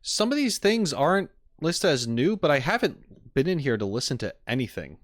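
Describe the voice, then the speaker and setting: A man's voice: fairly high pitch, big pitch swings, moderate pace, dry recording, studio quality. A man streaming casually to viewers, thinking aloud in a hesitant way. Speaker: streamer reacting to game content. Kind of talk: casual chat with live viewers.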